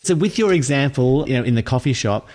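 A man's voice: mid-range voice, animated, a little fast, darkish tone. A man with a mid-range voice interviewing a guest on a podcast. He talks casually and conversationally.

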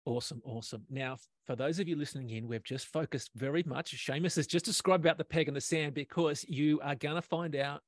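A man's voice: on the high side, brisk, few pauses, dry recording, studio quality. Enthusiastic male podcast host. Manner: enthusiastic, affirming. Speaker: host, interviewer. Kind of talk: podcast interview.

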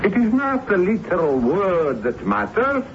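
Pompous theater director, high swoopy voice, florid old radio drama acting. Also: male voice, very animated, measured pace. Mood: coaxing. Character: pompous, flattering male theater director, grandiose and coaxing. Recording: dull, slightly noisy 1970s radio recording.

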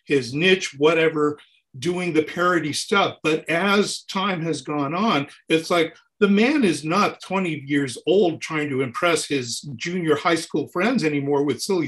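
A man's voice: a little high, unhurried. A man in his sixties, a touring keyboard player, talking animatedly in a casual interview and often restarting his sentences. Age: 60s. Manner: animated, talks in long runs with restarts.

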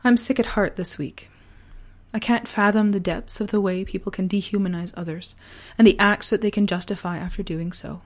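A woman hosting a personal podcast, talking earnestly and deliberately, her voice partly gone from a sore throat. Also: mid-range voice, fairly even intonation. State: losing voice from a sore throat virus. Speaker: podcast host. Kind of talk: personal podcast, reflective essay and casual chat.

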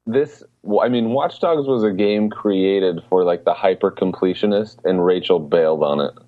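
A man with a low voice chats as a podcast co-host. He explains things informally, and the sound is thin and narrow, like a phone call. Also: moderate pace, no echo. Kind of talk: casual podcast chat.

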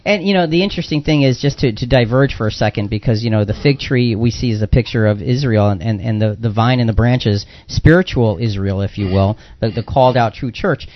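A man's voice: medium pitch, fairly quick, hardly any pauses. A man hosting a conversational Bible discussion on the radio, emphatic and circling back over phrases as he builds a point. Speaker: radio show host. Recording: slightly dull, band-limited radio sound.

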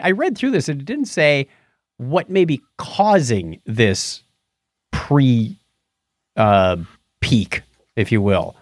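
A man's voice: fairly high pitch, no echo, studio quality. A man co-hosting a science news podcast, chatting casually and cracking jokes as he comments on stories. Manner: jokey, wisecracking.

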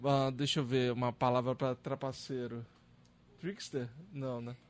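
A Brazilian man hosting a casual podcast chat, friendly and quick to laugh, often stumbling and restarting. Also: medium pitch, level tone, crowd noise. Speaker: podcast host.

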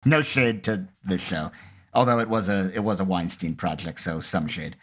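A man's voice: deep voice, moderate pace, a bit bright. A man in casual conversation, giving commentary on his own audio production. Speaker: podcast creator giving commentary. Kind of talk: casual group commentary chat.

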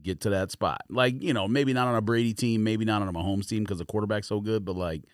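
A man's voice: on the low side, fast talker, dry recording, clean studio sound. A man co-hosting a podcast, explaining his points in casual, informal sports conversation. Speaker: podcast co-host.

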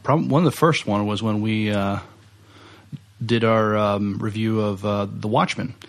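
Creaky, low-voiced man in halting casual chat. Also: fairly even intonation. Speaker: podcast co-host.

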